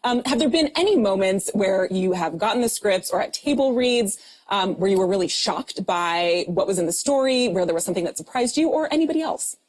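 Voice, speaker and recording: A woman's voice: medium pitch, lively intonation, brisk, few pauses, a little mellow, rough recording. A woman hosting a talk show, putting questions to guests in a casual, conversational way.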